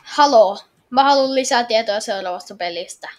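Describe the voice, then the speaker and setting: A woman playing a game and reacting out loud in a dramatic, exclamatory, pleading way. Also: a little high. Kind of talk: excitable reactive gameplay chat.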